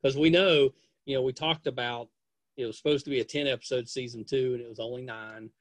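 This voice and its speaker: A man's voice: mid-range voice, fairly even intonation, fairly quick, no echo. A man hosting a podcast interview, enthusiastic and prone to repeating himself. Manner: enthusiastic, rambling, repeats phrases. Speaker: podcast host. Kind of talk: casual interview chat.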